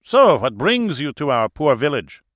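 Guy doing a big jolly older german gent, over-polite, loud n high-ish, german accent, audiobook. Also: sing-song, a little slow, no room sound, clean studio sound. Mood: smooth, hospitable. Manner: hearty, effusive, falsely courteous. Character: big, jovial, unctuous older German expatriate man, theatrically gallant.

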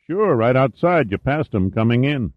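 A man's voice: mid-range voice, very animated, normal speed, pitched above the performer's own voice, lightly acted. A man voicing a friendly, canny small-town veteran with rustic, ungrammatical speech in an audiobook. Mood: folksy, matter-of-fact. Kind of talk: audiobook character dialogue. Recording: dull, roomy, echoey sound.

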